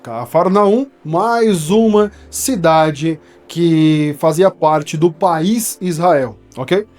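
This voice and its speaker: A man's voice: on the high side, very slow, a little mellow, faint music behind. A man from São Paulo with a paulistano accent, explaining a Bible verse in a studio in a conversational teaching style that keeps checking in with his listeners. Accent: São Paulo Portuguese. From São Paulo, Brazil. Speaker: preacher.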